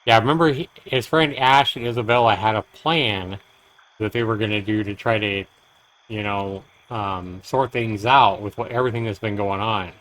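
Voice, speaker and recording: A man's voice: fairly low pitch, steady tone, normal speed, a bit bright, poor audio. A man livestreaming, reading game text aloud like a dramatic storyteller, with casual asides. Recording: clear background noise on stream.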